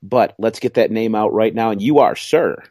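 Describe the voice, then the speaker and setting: Middle-aged man for a podcast co-host: low-ish, dry close mic, rambling self-mocking humor. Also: moderate pace, dark tone, no echo. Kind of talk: casual chat.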